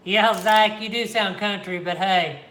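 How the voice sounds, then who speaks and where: A man from the Kansas, Oklahoma and Missouri area with a Midwestern American accent, hosting a relaxed livestream from home and answering viewers' chat. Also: sits high, measured pace. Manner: laid-back, reads and answers live chat. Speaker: reptile channel host. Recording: echoey room.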